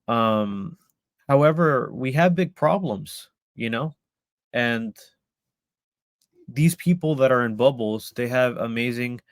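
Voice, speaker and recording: A man's voice: mid-range voice, clear, resonant tone, no echo, clean studio sound. A man from El Salvador giving a live online presentation about a community he founded, hesitant with many ums and restarts.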